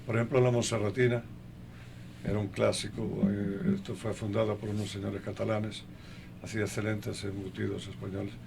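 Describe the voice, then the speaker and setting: An older man being interviewed in a conversational way, speaking haltingly and listing things one by one, with a gravelly voice. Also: lowish voice, level tone, noisy background. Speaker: interview guest.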